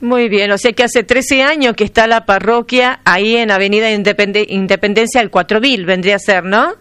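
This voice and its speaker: A woman's voice: mid-range voice, steady tone, brisk, a bit bright, smooth, no room sound. A woman interviewer, asking questions in a chatty, enthusiastic way. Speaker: radio interviewer. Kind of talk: radio interview.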